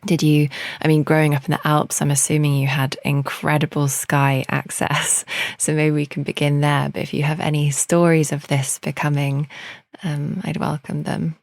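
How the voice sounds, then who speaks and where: A woman hosts the interview in a deep, flat voice with a gravelly edge to it. She asks slow, gentle questions and affirms her guest as she goes. Her voice is recorded dry and close. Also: no echo. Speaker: podcast host.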